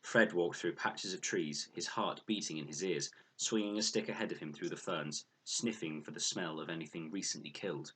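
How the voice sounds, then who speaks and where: A man reading a children's adventure novel aloud as an expressive, scripted narration. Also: sits low, moderate pace, hardly any pauses, slightly rough. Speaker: audiobook reader.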